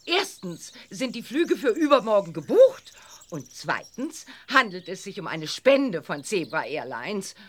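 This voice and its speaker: Pushy, theatrical lady reporter: husky, high, drawn-out delivery with huge pitch swoops, children's audio drama. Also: very animated. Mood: bossy, chirpy. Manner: effusive, theatrical, drawling exclamations. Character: Karla Kolumna, gushing, pushy sensation-hungry newspaper reporter woman.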